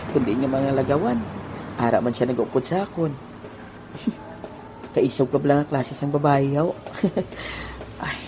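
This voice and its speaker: A man voicing a shy high school boy who is secretly in love, talking softly with nervous little laughs, in an amateur audio drama. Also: background noise, lightly acted. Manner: soft-spoken, nervous laughs. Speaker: voice actor. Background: background music.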